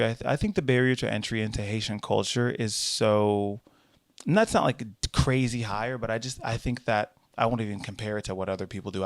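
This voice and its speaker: A young American man talks through a solo commentary in a slightly low voice, rambling from point to point and leaping up into high mocking tones when he quotes others. The sound is close and dry, with no room in it. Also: vocal fry, dry recording. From United States. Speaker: podcast host.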